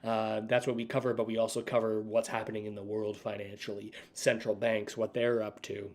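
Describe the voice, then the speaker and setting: A man's voice: on the low side, little pitch movement, a little fast. A man from Canada hosting a livestream, talking casually and off the cuff to viewers. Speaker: livestream host. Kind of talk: casual unscripted livestream commentary.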